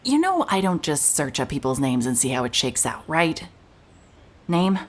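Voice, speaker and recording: A woman's voice: big pitch swings, moderate pace. A woman playing a wry, irritable private investigator in an audio drama, with a dry, blunt, sardonic delivery. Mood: probing, guarded. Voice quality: low-pitched voice. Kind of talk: cinematic audio drama, noir narration and naturalistic dialogue.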